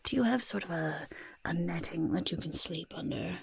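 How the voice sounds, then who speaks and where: A woman voicing a boastful, evasive goblin potion-maker in soft-spoken, breathy ASMR roleplay, fussing over the listener and hesitantly backpedalling. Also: on the low side, normal speed, a little hiss or hum. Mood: proud, boastful. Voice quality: breathy, husky near-whisper. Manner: refers to self in third person, hesitant backpedalling.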